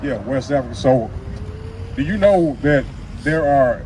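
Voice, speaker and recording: A man's voice: medium pitch, animated, measured pace, warm tone, slight background noise, rough recording. A man on a street corner, questioning a passerby in a friendly, curious way. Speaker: street preacher greeting passersby. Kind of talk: casual street conversation. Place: outdoors by a street.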